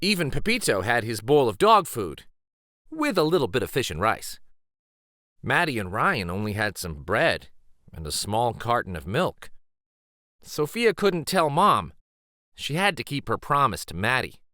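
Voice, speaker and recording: A man reading a children's picture book aloud in an expressive, deliberate storytelling style. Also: medium pitch, no room sound, clean studio sound. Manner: deliberate, animated.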